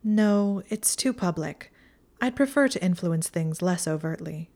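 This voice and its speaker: A woman's voice: fairly low pitch, lively intonation, measured pace, dark tone. A woman voicing a clever young witch who speaks in a dry, matter-of-fact way.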